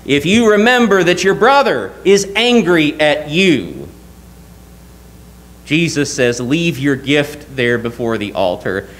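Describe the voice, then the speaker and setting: A man's voice: fairly high pitch, animated, takes it slowly, a bit bright. A young man preaching a sermon from a church pulpit, earnest and emphatic, often asking rhetorical questions. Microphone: lectern mic, wide camera.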